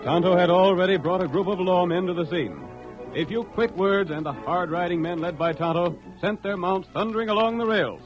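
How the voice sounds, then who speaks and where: A man's voice: high voice, fairly quick. An American man narrating a western adventure as a dramatic announcer on an old radio show. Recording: muffled old radio recording with music.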